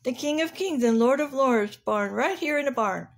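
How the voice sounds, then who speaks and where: Woman as an earnest, high-voiced storybook rhinoceros. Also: expressive, moderate pace. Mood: amazed. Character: big, earnest, naive rhinoceros from far away.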